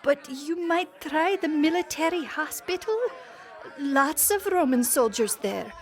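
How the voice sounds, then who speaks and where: An actress playing a cheeky, complaining townswoman in an audio drama, expressive and singsong. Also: high voice, measured pace, a faint crowd. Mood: cheeky, hawking.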